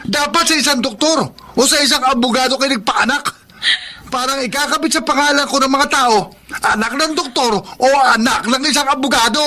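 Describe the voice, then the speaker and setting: A man's voice: very high voice, brisk, pushed and bright, slight background noise, full character voice. A man with a Filipino accent playing a sarcastic, stubborn teenage robber son in a radio drama. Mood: mocking. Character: bitter defiant teenage son turned highway robber.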